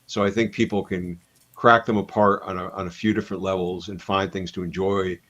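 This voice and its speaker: A man's voice: sits low, flat delivery, brisk, a bit bright, lo-fi sound. A man, a comic book writer, talking casually as a guest in an interview, reflective and full of asides.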